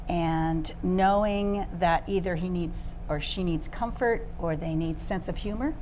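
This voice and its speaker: A woman's voice: lowish voice, a little mellow, a little hiss or hum. An older woman, a retired teacher volunteering at a hospital, speaking earnestly and with measure as a talk show guest. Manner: earnest, measured, some restarts. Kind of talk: casual talk show interview.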